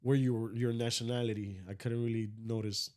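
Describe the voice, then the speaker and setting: A man from Cuba with a Cuban accent, hosting a podcast and reacting warmly, calling his guest bro. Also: medium pitch, flat delivery, brisk. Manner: friendly, affirming, says bro a lot. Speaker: podcast host. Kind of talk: casual interview talk.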